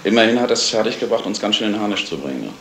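A man's voice: lowish voice, animated, racing, fairly bright tone. An actor playing a brusque, suspicious, needling man in a radio crime play. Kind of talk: 1970s German radio crime play acting. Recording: roomy, noisy vintage radio recording.

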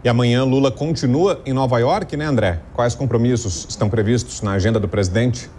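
Brazilian news anchorman, mid-pitched voice. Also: a little fast, warm tone, a little hiss or hum. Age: 30s. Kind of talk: conversational news presenting. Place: TV news studio. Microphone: lapel microphone.

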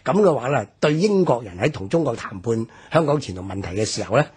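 A man with a Hong Kong Cantonese accent talks through history on a radio program. His voice is very raspy and strained, yet his pitch rises and falls in wide swings as he explains. He pauses often on fillers while he builds his points. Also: big pitch swings, darkish tone. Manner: measured, explanatory, many fillers. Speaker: history commentator. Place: radio studio.

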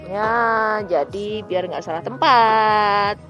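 A woman's voice: mid-range voice, slow pace, fairly bright tone, highs cut off. A young woman hosting a travel vlog, chatting casually and playfully outdoors. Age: young adult. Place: outdoor terrace of a hillside cafe. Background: music.

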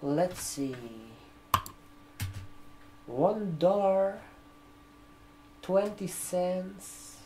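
Very slow-talking young man, ASMR role-play. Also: high-pitched, noisy background. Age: 20s. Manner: slow, halting, repeating words while typing. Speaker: ASMR performer playing a barber shop receptionist. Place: room with sofas, indoors. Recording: roomy echo, keyboard typing. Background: computer keyboard typing.

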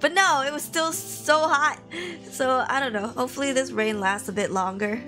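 A woman's voice: high voice, normal speed, sharp tone, soft background music. A young woman chatting casually with livestream viewers, bubbly and giggly, her voice tired and sniffly. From Malaysia. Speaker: VTuber streamer. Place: home room.

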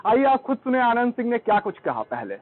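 High-pitched young male presenter, tinny sound. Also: big pitch swings, quick pace, no echo. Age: 20s. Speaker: news presenter. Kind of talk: news report to camera. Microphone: handheld reporter microphone. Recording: thin tinny band-limited radio-like sound.

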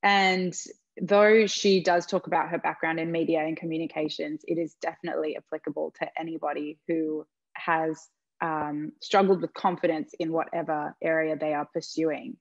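A woman's voice: low voice, no echo. A woman, a panelist on a video call giving earnest, encouraging advice. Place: home room with plain walls. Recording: slightly dull video-call audio.

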